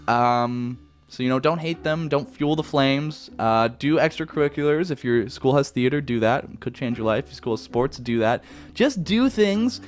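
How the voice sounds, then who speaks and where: Young Canadian guy, lively rambling Q&A. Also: medium pitch, normal speed. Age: young adult. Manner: rambling, self-correcting, laid-back. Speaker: YouTuber answering viewer questions. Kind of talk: casual Q&A chat.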